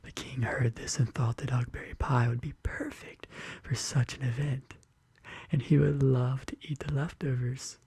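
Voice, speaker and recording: Bedtime-story ASMR narrator: very breathy, soft whisper, dark and mellow tone, gentle deliberate delivery. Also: mid-range voice, level tone, no room sound. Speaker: ASMR video host. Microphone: close studio condenser microphone.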